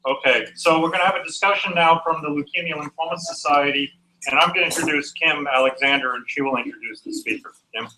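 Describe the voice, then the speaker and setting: A man hosting an event, casually introducing the next speaker and asking a busy room to quiet down. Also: on the high side, quick pace, rough recording. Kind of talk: announcements to a chatty crowd. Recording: clear crowd noise behind.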